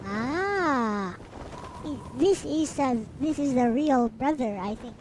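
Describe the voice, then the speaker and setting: High-voiced woman musing over a game. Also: expressive, slow pace, noisy background. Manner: musing aloud, speculating in short fragments. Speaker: streamer playing a visual novel. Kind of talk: casual live game commentary.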